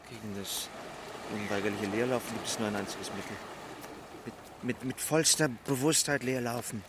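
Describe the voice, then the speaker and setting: An actor playing a cynical, scheming swindler in a radio drama, speaking in a declarative, sardonic, coolly philosophical way. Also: male voice, fairly low pitch, normal speed, background noise, close to an everyday voice. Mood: insistent.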